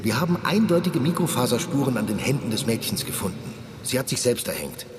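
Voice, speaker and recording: A man's voice: medium pitch, animated, brisk, lightly acted. A man playing a blunt, exasperated but protective police detective in a radio drama, with emphatic, insistent delivery. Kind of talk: radio drama dialogue in a flashback. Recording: long reverb, faint ambient noise.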